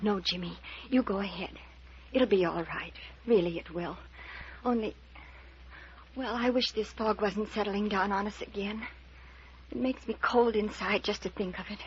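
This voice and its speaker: An actress playing a timid, anxious, gentle young woman in an old-time radio mystery, hesitant and pleading. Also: medium pitch, moderate pace, close to an everyday voice. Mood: uneasy. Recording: dull, noisy vintage radio recording.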